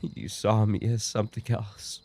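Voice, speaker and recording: A man's voice: on the low side, level tone, a little slow, dark tone. A man playing a dying, obsessive and remorseful yandere roommate in an audio roleplay, speaking in weak, broken, repeated phrases. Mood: wounded longing. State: weakened, dying from an injury. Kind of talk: boyfriend ASMR audio roleplay acting.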